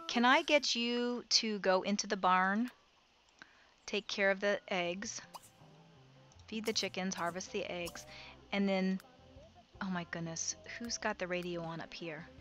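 A woman's voice: on the low side, sharp tone, a little hiss or hum. A woman casually commenting as she plays a life-simulation game, thinking aloud and giving her characters directions. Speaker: let's play host.

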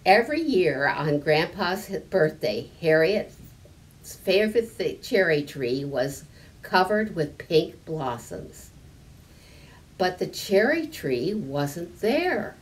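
A woman in her eighties reading a children's storybook aloud, haltingly, now and then repeating a word. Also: on the low side, very animated, low-quality recording. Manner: halting, stumbling and repeating words. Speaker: story time reader. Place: library sitting area indoors.

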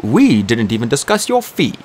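A man voicing a calm, calculating male schemer who speaks in a measured, curious way in an audiobook. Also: medium pitch, slight background noise.

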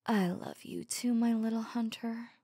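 Slow, sultry werewolf huntress acted by a woman. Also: a little high, steady tone, dark tone. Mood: tender, devoted. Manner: slow, breathy, intimate, often dropping to whispers. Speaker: audio roleplay voice actor. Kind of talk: ASMR roleplay.

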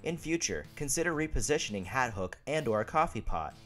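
A man's voice: mid-range voice, lively intonation, a little fast, fairly bright tone, faint music behind, lightly acted. Man as a fussy, clipped advice-giver. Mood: serious, instructive. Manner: clipped, telegraphic, instructive. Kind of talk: web comic voice dub.

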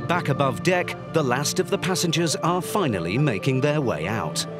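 A man's voice: on the high side, animated, moderate pace. A man delivering scripted narration for a documentary. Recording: music under the voice. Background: background music.

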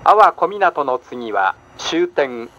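A man reading a scripted automated train announcement in a formal, measured way with careful enunciation. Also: a little high, sing-song, sharp tone. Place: played over a train's announcement system. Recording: muffled train speaker recording, cabin noise. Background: faint train running noise.

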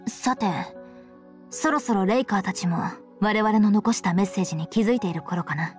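A woman playing a composed, commanding young female leader in an anime visual novel game, with measured, formal, authoritative speech. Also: mid-range voice, lightly acted. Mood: calm, resolute. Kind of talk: Japanese visual novel acting and narration. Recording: background music under the voice.

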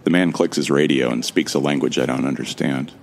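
Very deep, gravelly man narrating wryly. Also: steady tone, brisk. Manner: wry, joking, casual with swearing. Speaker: narrator and protagonist. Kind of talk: first-person scripted drama narration.

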